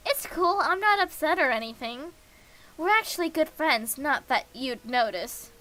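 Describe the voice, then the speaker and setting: Bright high-voiced woman reading aloud. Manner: reads all parts herself with small restarts and slips. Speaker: streamer reading a visual novel aloud. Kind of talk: reading game dialogue and narration aloud.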